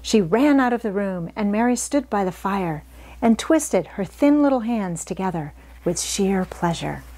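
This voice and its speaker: A woman's voice: medium pitch, lively intonation, unhurried, mellow. A middle-aged woman narrating a children's novel aloud, reading from the book. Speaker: read-aloud reader. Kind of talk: storybook narration. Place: indoor room, wooden panelled backdrop.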